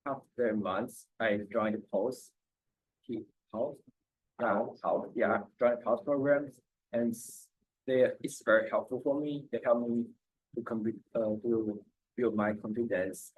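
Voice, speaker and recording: A man whose first language is Mandarin, speaking English on a student panel haltingly, with restarts and simple phrasing. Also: fairly low pitch, steady tone, slightly rough, phone-quality sound. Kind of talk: casual panel discussion.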